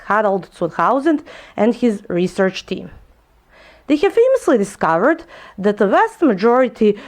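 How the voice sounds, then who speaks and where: A young woman whose first language is Serbian, presenting a research paper in a clear, explanatory way with a few small slips. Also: a little high, a little mellow. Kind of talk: prepared lecture presentation.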